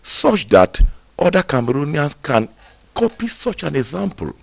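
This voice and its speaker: A man speaking as a university representative in a TV interview, emphatic and promotional, pausing often with fillers. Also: fairly high pitch, big pitch swings, gravelly, no echo. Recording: dry studio sound.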